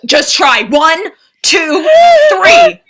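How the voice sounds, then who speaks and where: Bright, high-voiced pragmatic woman escaping. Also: wildly swooping pitch, very slow, exaggerated voice. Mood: urgent. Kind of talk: theatrical staged-reading dialogue.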